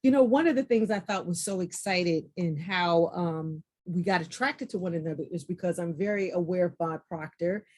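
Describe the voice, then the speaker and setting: A woman hosting a podcast in an excited, effusive way, often repeating phrases for emphasis. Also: on the low side, moderate pace, hardly any pauses. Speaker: podcast host.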